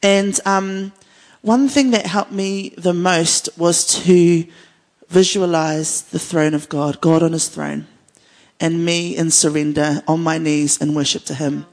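Female worship leader, New Zealand accent, slightly low voice; earnest panel chat in an echoey hall. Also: steady tone, takes it slowly, a little mellow. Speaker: worship leader, guest speaker. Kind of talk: unscripted panel conversation about faith. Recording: echoey hall with long reverb.

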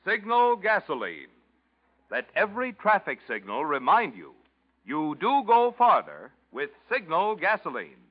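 A man's voice: high-pitched, wildly swooping pitch, a little slow. A male radio announcer reading a sponsor spot and show introduction in polished, emphatic 1940s style. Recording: dull lo-fi old radio recording.

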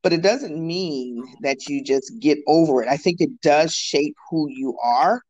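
A man's voice: a little high, a little slow, darkish tone. A man talking in a thoughtful, hesitant way in a casual conversation, laughing easily. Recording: slightly muffled lo-fi sound.

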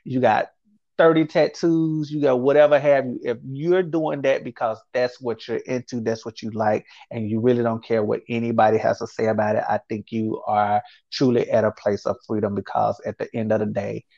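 A man speaking as a guest in an interview, calm and reflective, who often repeats his phrases and checks in with his listener. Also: medium pitch, moderate pace, gravelly, no room sound, highs cut off. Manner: reflective, speaks in repeated phrases, often says "you know what I'm saying". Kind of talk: candid conversational interview.

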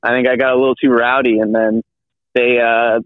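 A man's voice: mid-range voice, flat delivery, clear, resonant tone, dry recording. Rambling young man on a tinny line. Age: 20s. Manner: rambling, halting, with many restarts and fillers. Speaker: guest. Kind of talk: casual podcast chat.

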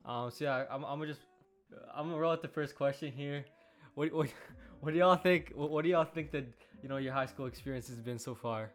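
A man's voice: on the high side, brisk, faint music behind. A man in his late teens from Canada hosting a casual podcast, talking easily with repeated words and slang. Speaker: podcast host. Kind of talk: casual chat.

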